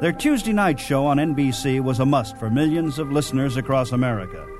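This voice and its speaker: A man hosting a radio program, reading scripted narration in a measured announcer's delivery. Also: mid-range voice, lively intonation. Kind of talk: scripted narration, old-time radio presentation. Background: music.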